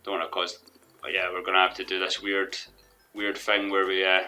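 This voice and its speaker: A young man commentating his own football management game in a rambling, hesitant way, his voice a little hoarse from losing it. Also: deep voice, monotone, fairly quick, pushed and bright. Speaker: gaming commentator. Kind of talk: let's play commentary. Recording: faint background music.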